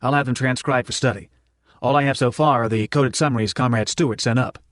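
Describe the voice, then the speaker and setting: A man's voice: mid-range voice, fairly even intonation, fairly quick, dry recording, studio quality, natural voice. A man voicing a businesslike revolutionary leader in an audiobook, courteous and formal in manner. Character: businesslike male revolutionary leader on video link. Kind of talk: audiobook character dialogue.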